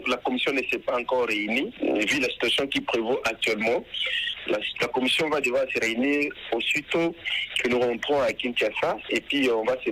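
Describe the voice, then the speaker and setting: A man with a Congolese accent speaking formally as an official interviewed by telephone for radio. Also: medium pitch. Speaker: electoral commission official, interviewee. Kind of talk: formal phone interview reporting results. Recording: noisy telephone call to radio.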